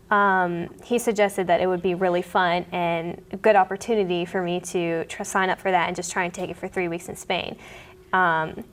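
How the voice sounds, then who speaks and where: A young woman student answering interview questions earnestly, rambling a little with many ums. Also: medium pitch. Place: studio.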